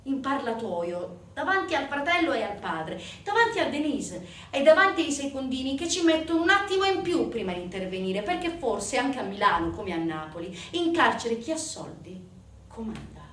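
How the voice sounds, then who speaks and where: A woman's voice: sits high, sing-song. Echoey, distant woman delivering a dramatic monologue. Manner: emphatic, with deliberate pauses and swings from hushed to forceful. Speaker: stage actress and narrator. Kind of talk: dramatic theatrical monologue storytelling. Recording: distant, echoey room recording with faint noise.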